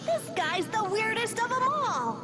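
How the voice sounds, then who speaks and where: A young video game heroine with a very high, animated voice. She is brash and impatient, drawing her words out slowly with sassy bite. Music plays behind her. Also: exaggerated voice. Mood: brash, annoyed.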